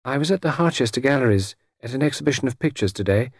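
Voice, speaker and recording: A man's voice: mid-range voice, lively intonation, fast talker, husky, dry recording, clean studio sound, pitched above the performer's own voice. A man voicing a reserved aristocratic lady on the telephone in an audiobook, small-voiced, cold and formally polite. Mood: earnest, reserved.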